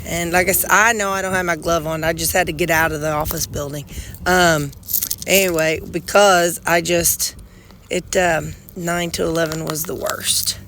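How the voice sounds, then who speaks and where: A middle-aged woman with a drawl talks in a loose, rambling way, full of restarts and asides. Her voice is a bit nasal with a gravelly edge. She is recorded on a handheld phone outdoors, with a faint hiss of noise underneath. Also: fairly low pitch, fairly even intonation, moderate pace, fairly bright tone. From Missouri, United States. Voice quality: slightly nasal. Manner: rambling, chatty, folksy with frequent restarts; pulling weeds while talking. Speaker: vlogger. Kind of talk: casual chat to camera. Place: outdoors beside a gravel bed and sidewalk.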